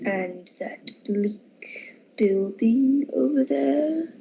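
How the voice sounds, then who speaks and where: Teen girl doing a calm ghostly spirit, super slow n very soft dark tone, breathy, muffled radio play audio. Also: sits high, slight background noise, heavily acted. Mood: calm, guiding. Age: teenager. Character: calm, solemn, otherworldly ghost of Christmas past. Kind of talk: radio drama acting. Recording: dull lo-fi amateur recording.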